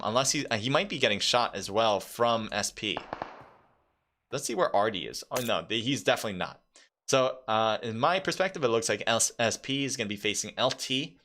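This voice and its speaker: A man casting a live mobile battle royale match as play-by-play commentator, talking casually with fillers and jumping up in pitch when fights break out. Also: bright, forceful tone, slight background noise. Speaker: esports caster. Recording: game gunfire clearly underneath. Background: game gunfire and clicks.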